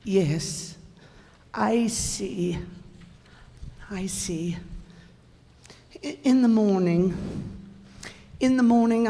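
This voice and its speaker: A woman playing a wistful old widow in a radio play, halting and plaintive in manner. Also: mid-range voice, creaky, mellow. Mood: resigned. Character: wistful old widow clinging to her past. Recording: hall reverb, music bed.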